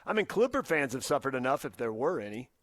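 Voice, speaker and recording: A man's voice: mid-range voice, big pitch swings, fast talker. A man co-hosting a sports radio show, chatting casually and offering firm opinions from a close studio mic. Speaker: sports talk radio co-host. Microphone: close studio broadcast mic.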